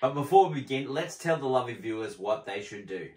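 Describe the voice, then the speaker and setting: A man's voice: medium pitch, expressive, quick pace, darkish tone. A man hosting a movie review show, presenting in a casual, conversational style. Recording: echoey room.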